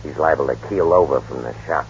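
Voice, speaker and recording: A man's voice: extremely low pitch, fairly quick. An actor playing a blunt, cynical, slangy city man in a 1930s radio drama. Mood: dry, cynical. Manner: curt, wisecracking, slangy. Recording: dull, noisy old radio recording.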